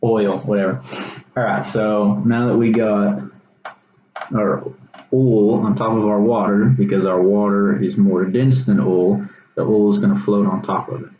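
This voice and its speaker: A man's voice: on the low side, little pitch movement, a little slow. A young man with a Texas accent gives an informal science demonstration as a student presenter, explaining casually and a bit hesitantly. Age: young adult. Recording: roomy echo, dull sound, faint noise.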